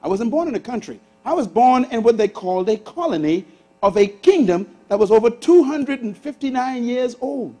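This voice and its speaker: A Bahamian man in his sixties preaching a sermon on a church stage, swinging from loud bursts to hushed asides. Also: very high voice, animated, normal speed. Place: large church auditorium stage with audience.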